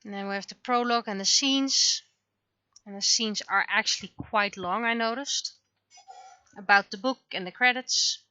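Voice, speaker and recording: Woman chatting on a livestream about her own project, bright forward voice, dry but kinda dull lo-fi audio. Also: medium pitch, normal speed, smooth, dry recording. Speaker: livestreamer, webcomic and visual novel creator. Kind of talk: casual livestream commentary on own work.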